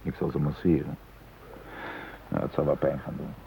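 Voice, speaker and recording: An actor playing a practical, caring male hostage in a radio drama, talking in a measured, reasoning way. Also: extremely low pitch, little pitch movement, vocal fry, dark tone, slight background noise, full character voice, rough recording. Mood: concerned. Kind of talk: naturalistic radio drama acting.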